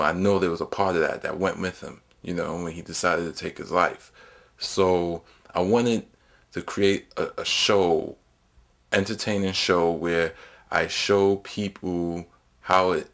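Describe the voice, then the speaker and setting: A man with a very deep voice talks casually as a director and writer being interviewed. His intonation stays quite flat and level. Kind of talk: casual behind-the-scenes interview.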